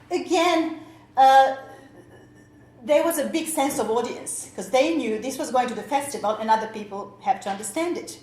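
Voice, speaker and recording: A woman's voice: on the high side, darkish tone. A woman teacher, presenting at a workshop with many fillers and restarts. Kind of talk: conference presentation. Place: lecture room. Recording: echoey lecture room, faint noise.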